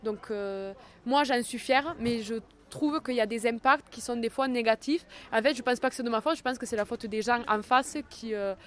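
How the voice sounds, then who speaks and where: A woman's voice: on the high side, moderate pace, few pauses. Young woman with a southern French Ariège accent. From Ariège, France. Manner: hesitant, many fillers and restarts. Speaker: interviewee. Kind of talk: interview answers.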